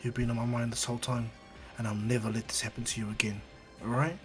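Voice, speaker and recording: A man voicing a gentle young male hero who speaks softly and reassuringly. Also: medium pitch, lively intonation, a little fast, soft background music, natural voice. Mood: tender. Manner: soft, reassuring.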